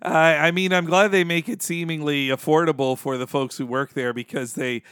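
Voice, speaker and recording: Male co-host, slightly high voice, crisp and close-sounding, chatty explainer in relaxed fan talk. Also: moderate pace, no echo. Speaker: podcast co-host. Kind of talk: casual fan chat.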